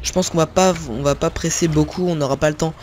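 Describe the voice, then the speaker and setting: A young man from northern France chats through his gameplay, circling back, correcting himself and filling pauses as he goes. His voice sits a little higher than most men's. Faint tractor and farm sounds from the game hum underneath. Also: steady tone, a little mellow. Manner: rambling, many fillers and self-corrections, talks to viewers while playing. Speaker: gaming video creator. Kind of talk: casual gameplay commentary. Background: faint game sounds of tractors and farm ambience.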